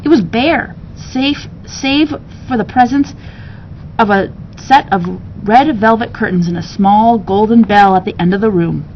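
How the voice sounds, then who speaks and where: A woman reading a children's storybook aloud with expressive narration. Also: unhurried. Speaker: story reader. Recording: dull sound with rumbling background noise.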